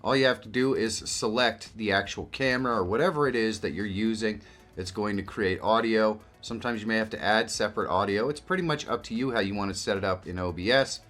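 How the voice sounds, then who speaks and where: Low-voiced man presenting a tutorial. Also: brisk, a bit bright. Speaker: video creator and presenter. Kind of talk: tutorial narration to camera and screen. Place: small indoor home studio. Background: music.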